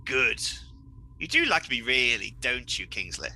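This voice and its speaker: A man's voice: mid-range voice, very animated, measured pace, sharp tone, heavily acted, rough recording. A man playing a pompous, gleeful, scheming supervillain in a comedic radio play, mocking and melodramatic. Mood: smug.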